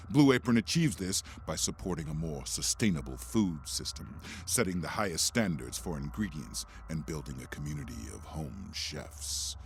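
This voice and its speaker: An actor playing a menacing, monstrous, contemptuous announcer with a gravelly, raspy voice, reading scripted copy theatrically. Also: male voice, low-pitched, slight background noise. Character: menacing monstrous male announcer scolding his co-hosts, bleakly contemptuous. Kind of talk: in-character scripted sponsor read.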